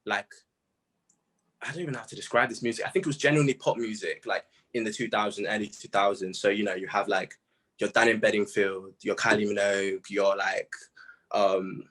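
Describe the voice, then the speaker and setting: Low-pitched young man chatting casually. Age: young adult. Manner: animated slangy storyteller, says bro and man often. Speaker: music artist being interviewed. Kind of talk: casual chat.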